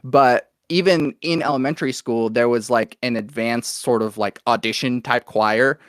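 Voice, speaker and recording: A man with an American accent telling his own story in a casual, rambling interview style, as if thinking out loud. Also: medium pitch, moderate pace, smooth, no echo, studio quality. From Oklahoma, United States, born in Venezuela. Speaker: podcast guest, musician and producer.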